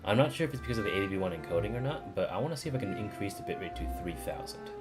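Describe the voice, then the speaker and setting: A man's voice: on the low side, expressive, racing. A man who streams games, chatting casually and reading game text aloud over game music. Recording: music playing behind the voice.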